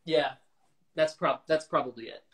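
A young man chatting in a relaxed, easygoing way on a livestream video call, speaking into a phone held at arm's length. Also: on the high side, moderate pace. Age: young adult. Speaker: livestream co-host. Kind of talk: casual chat on a video call. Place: room with shelves indoors.

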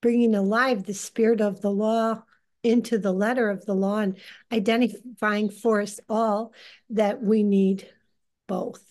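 A woman in her sixties giving informal religious teaching on a group call, speaking in an earnest, deliberate, halting way. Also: medium pitch, a little mellow. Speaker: leader of a lay religious group. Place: living room, indoors. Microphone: computer on a video call.

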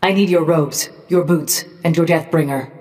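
An actress voicing a cold, cruel robotic Medusa in a video game, with a deliberate, threatening delivery. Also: female voice, low voice, darkish tone, natural voice. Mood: cold menace. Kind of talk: scripted video game voice lines. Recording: long reverb tail.

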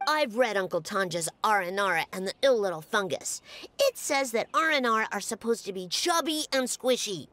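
A woman's voice: medium pitch, animated, moderate pace, sharp tone, faint music behind. A woman voicing a spirited young child in a game who dismisses a toy. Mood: scornful.

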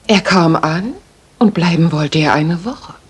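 An actress playing a proper young Victorian lady in a radio play, polite and measured, with a husky, breathy voice. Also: on the low side. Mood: reserved, confiding. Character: proper, modest young Victorian lady client, firm when pestered. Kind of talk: dramatic German radio play acting.

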